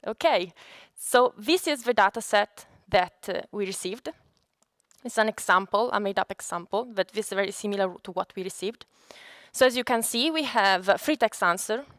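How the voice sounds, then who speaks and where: A woman from Italy with an Italian accent, a native Italian speaker, giving a conference presentation as a data scientist in a halting, explanatory way. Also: mid-range voice, fairly bright tone, no echo, studio quality.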